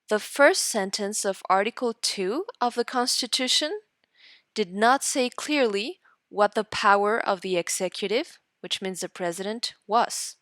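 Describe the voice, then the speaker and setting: A woman teacher reading aloud for students in an instructional way, deliberately and in short guiding phrases. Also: mid-range voice, a bit bright, no room sound, studio quality. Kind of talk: instructional read-aloud lesson narration.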